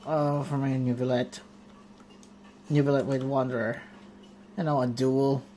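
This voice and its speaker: A woman game streamer reacting casually and with animated exclamations on a phone livestream with faint noise. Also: extremely low pitch, measured pace.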